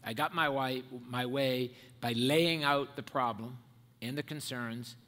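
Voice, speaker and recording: Older man, keynote at a podium, emphatic and measured, mid-pitched voice carrying through a reverberant hall. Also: fairly bright tone. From Ireland and America. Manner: measured, emphatic, builds points in lists. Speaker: keynote speaker. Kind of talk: prepared conference speech. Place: large dark auditorium stage at a podium. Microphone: headset mic.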